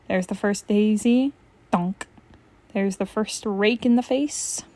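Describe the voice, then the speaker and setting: A woman reading a comic storybook aloud in an expressive, acted style. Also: medium pitch, takes it slowly. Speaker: storybook reader.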